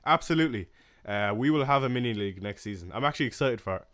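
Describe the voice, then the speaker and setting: A young man with a Waterford Irish accent, hosting a casual fantasy football livestream, chatting with viewers and answering comments with plenty of fillers and soft laughs. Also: mid-range voice, expressive, rapid-fire. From Ireland. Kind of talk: casual live-stream chat reading comments.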